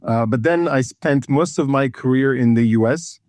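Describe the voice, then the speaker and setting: A man's voice: medium pitch, moderate pace, darkish tone, no echo, studio quality. A man from Ethiopia, a consulting firm founder, talking in an interview, hesitant and full of fillers and repeated words. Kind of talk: conversational interview.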